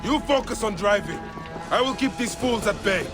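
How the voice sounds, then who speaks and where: A proud Egyptian warrior in an action game. He is curt and forceful, his voice pitched high and moving widely, with music behind. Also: animated, normal speed. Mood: urgent, irritated. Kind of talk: video game action acting.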